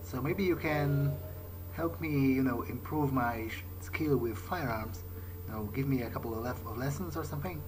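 A man narrates his gameplay in character at a medium pitch. His delivery is hesitant and musing, as if thinking aloud, with loud music behind him. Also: normal speed, low-quality recording. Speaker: gamer role-playing as his character.

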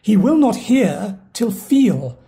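A woman's voice: mid-range voice, very animated, slow pace, warm tone. A woman reading the part of a worried, exasperated household steward in a Shakespeare audio play.

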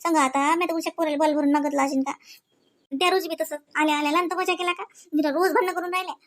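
A woman voicing a shrill-pitched, fast-grumbling young village wife. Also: fairly even intonation, lightly acted. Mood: aggrieved. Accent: Varhadi Marathi. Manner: complaining, rambling, exasperated. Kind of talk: comedy cartoon dialogue.

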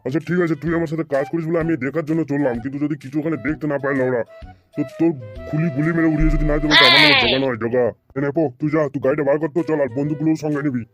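A man's voice: fairly high pitch, very fast, a bit bright, soft background music, close to an everyday voice. A man playing a hot-tempered, blustering, foul-mouthed gang boss in an amateur comedy cartoon. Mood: angry, threatening. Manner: blustering, threatening, swearing.

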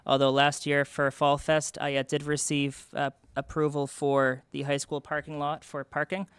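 Smooth-voiced young man explaining plans. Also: on the high side, monotone, normal speed, fairly bright tone, no room sound. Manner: earnest, explanatory, frequent restarts and fillers. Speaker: event committee representative. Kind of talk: public meeting testimony. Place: town hall meeting room.

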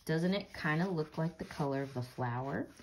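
A woman reading a storybook aloud and chatting casually about it, with slow, deliberate phrasing and gentle questions. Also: deep voice. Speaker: read-aloud reader.

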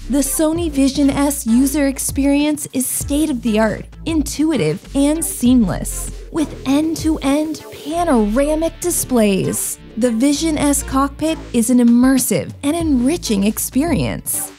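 High-voiced woman narrating a corporate promo. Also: slow pace, few pauses. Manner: polished, even promotional delivery. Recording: voice-over over loud music.

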